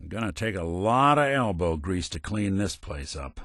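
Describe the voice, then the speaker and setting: Weary robot noir detective for a game, low and dry humored, pitch swings around, super dry studio sound. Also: male voice, very animated, normal speed, a bit bright, no echo, exaggerated voice. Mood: wry, world-weary. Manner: dry, sardonic, wry asides. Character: world-weary robot private detective, wry, middle-aged male. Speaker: companion character in a video game.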